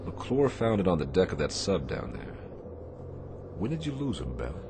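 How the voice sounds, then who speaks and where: Deep-voiced commanding Navy captain. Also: male voice, big pitch swings, normal speed, noisy background. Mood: pressing. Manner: clipped, commanding. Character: authoritative male Navy destroyer captain, terse and grave. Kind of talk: radio drama acting.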